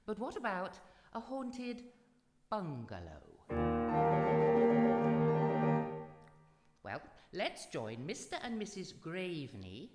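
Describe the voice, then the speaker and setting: A theatrical auntie played by a woman tells spooky tales in a lowish, swooping voice. She relishes every suspenseful pause. The voice rings in a long hall reverb. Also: wildly swooping pitch. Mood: playful, sinister relish. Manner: dramatic, relishing, drawn-out suspense. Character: theatrical auntie storyteller of ghostly fairy tales, Auntie Mildred. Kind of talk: live radio drama storytelling. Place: live performance in a reverberant hall. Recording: echoey hall with long reverb, music behind.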